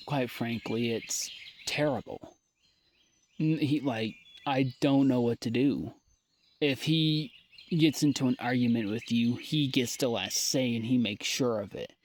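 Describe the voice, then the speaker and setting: Young man, weary and halting, unhurried audio-diary delivery, mid-pitched voice. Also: slight background noise. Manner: weary, halting, trailing off with sighs. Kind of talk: personal audio diary monologue.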